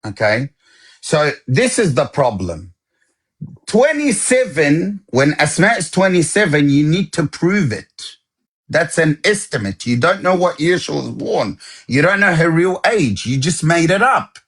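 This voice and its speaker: A man's voice: on the high side, sing-song, a little slow, a bit bright, breathy edge. A man in a heated live debate, insistent and talking over others as he repeatedly demands sources. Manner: talks over others, interrupts.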